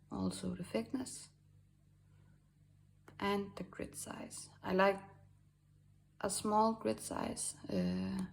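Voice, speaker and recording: A woman from Denmark with a Danish accent, hosting a drawing tutorial in a halting way with many fillers and soft asides. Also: mid-range voice, vocal fry, a little hiss or hum. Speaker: art tutorial host. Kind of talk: screen-recorded drawing tutorial.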